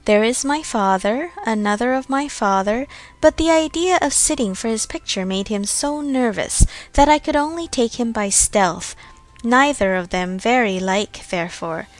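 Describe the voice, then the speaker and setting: A woman's voice: a little high, animated, normal speed, soft background music, close to an everyday voice. A woman voicing a genteel, chatty, self-satisfied young lady of Regency society in a storybook reading. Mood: chatty, fond.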